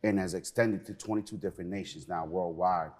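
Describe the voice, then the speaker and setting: A man's voice: low voice, fairly even intonation, fairly quick, breathy edge. A middle-aged man from Peru, raised in New York, speaking as a guest in an interview, earnest and passionate. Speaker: museum cultural program manager, guest.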